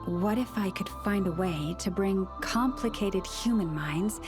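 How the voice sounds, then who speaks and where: A woman voicing a gentle, solemn young priestess-like counselor in a video game, speaking in measured, formal phrases. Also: mid-range voice, natural voice. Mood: pensive. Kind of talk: video game cutscene voice acting. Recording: music under the voice.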